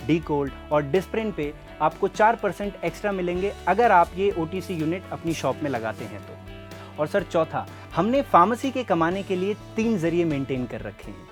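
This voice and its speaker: A man playing an earnest, persuasive sales rep, explaining things directly to the listener in a scripted training film. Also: fairly high pitch. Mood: persuasive, polite. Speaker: presenter and sales representative. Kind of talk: scripted training film narration and role-play.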